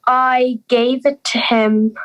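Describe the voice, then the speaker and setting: A woman's voice: fairly high pitch, little pitch movement, slow, fairly bright tone, clean tone, dull audio. A young woman playing an anxious young schoolgirl in a fairy-tale audio drama, hesitant and pleading. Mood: sheepish. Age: young adult.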